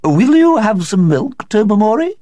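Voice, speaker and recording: Man doing a high strained hostess voice, nervous genteel lady, dry. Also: wildly swooping pitch, a little slow, dry recording. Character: strained, nervous upper-class hostess, woman.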